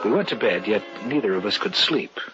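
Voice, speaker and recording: An actor with an American accent playing a stubborn, sceptical husband who also narrates an old radio horror drama. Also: male voice, fairly low pitch, sing-song, brisk, music in the background. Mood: narrating gravely. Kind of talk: 1940s-50s radio drama acting and narration. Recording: dull hissy old radio recording.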